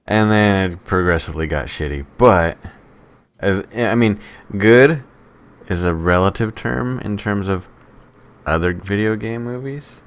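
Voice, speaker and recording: A man's voice: on the low side, a little slow, clear, resonant tone. A man co-hosting a podcast, chatting casually with an exasperated, sweary way of talking. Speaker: podcast co-host. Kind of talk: casual chat, banter.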